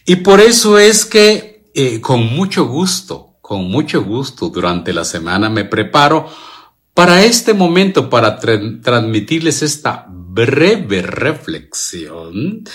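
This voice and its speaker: A man's voice: mid-range voice, a bit bright. A man with a Guatemalan accent hosting a devotional gospel reflection, speaking directly to listeners, repeating phrases and answering his own questions. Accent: Guatemalan Spanish. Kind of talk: devotional gospel reflection to an online and radio audience.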